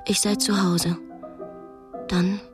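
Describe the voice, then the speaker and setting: A woman's voice: mid-range voice, level tone, slow pace, music in the background, natural voice. An actress playing a young, educated captive woman in a radio drama, anguished and defiant, speaking intimately and often breathily. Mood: brooding, despairing. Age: young adult. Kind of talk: radio drama acting, intimate diary monologue and dialogue.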